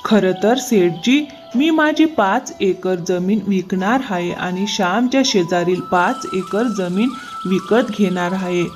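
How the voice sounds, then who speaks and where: A woman voicing an earnest, polite young male farmer in an animated moral story. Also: mid-range voice, takes it slowly, music in the background, natural voice.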